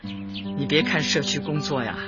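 A woman playing a kindly, plain-spoken community party secretary in a Chinese radio drama, motherly as she advises and gently scolds. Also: low voice, measured pace. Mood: earnest, motherly. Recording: muffled radio drama with music underneath.

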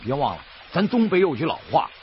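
Radio drama boatman: principled Northeastern Chinese man, slightly high voice, very lively intonation, plain-spoken. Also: quick pace, slight background noise. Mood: firm, earnest. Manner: folksy, earnest, plain-spoken. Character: kindly, principled boatman and bird protector. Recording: dull radio recording with loud music.

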